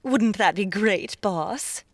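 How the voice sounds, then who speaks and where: Sharp-tongued young fox woman, audiobook fantasy; eager, expressive, swooping intonation, dry sound. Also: medium pitch, slow pace, dry recording. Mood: excited. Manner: expressive, exclaiming. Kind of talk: audiobook character acting.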